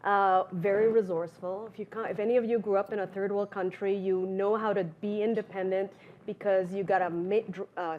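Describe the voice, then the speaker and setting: A middle-aged woman from Trinidad speaks as a panelist, reflective and pausing often. The recording sounds slightly muffled, with faint background noise. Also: medium pitch, a little mellow. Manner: reflective, hesitant fillers. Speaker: architect, panelist. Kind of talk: personal storytelling on a panel.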